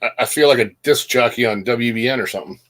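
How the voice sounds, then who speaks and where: A man co-hosting a live streamed chat, relaxed and self-deprecating as he talks. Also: medium pitch, fast talker. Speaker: co-host.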